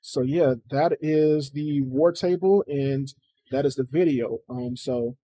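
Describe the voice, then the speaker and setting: A man's voice: medium pitch, slow pace, mellow, no echo. An American man chatting casually as the host of a reaction video. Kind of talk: casual chat, reacting to a game showcase.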